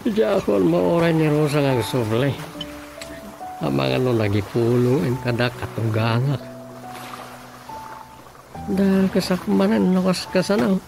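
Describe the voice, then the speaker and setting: Exasperated husband, Ilocano Filipino accent, radio comedy drama, muttering, creaky, very animated intonation. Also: male voice, fairly high pitch, unhurried, long pauses, over music. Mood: flustered.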